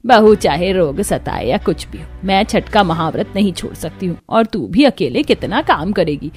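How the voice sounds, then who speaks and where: A woman's voice: fairly low pitch, sing-song, normal speed, a little mellow, faint music behind. A woman voicing an ailing, devout old mother-in-law in a cartoon, weary and plaintive but stubborn. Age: older.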